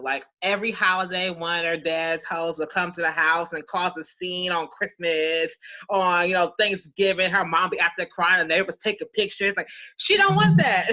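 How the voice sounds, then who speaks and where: Bright, forceful woman on slightly muffled audio. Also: lowish voice. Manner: emphatic, playful, slangy storytelling. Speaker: author discussing own book. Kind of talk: casual book discussion.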